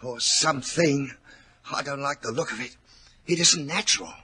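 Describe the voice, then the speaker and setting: An actor playing a superstitious, nervous butler in a 1940s radio drama, fearful and dramatic. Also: male voice, medium pitch, normal speed, pushed and bright, husky. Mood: frightened. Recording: old 1940s radio recording.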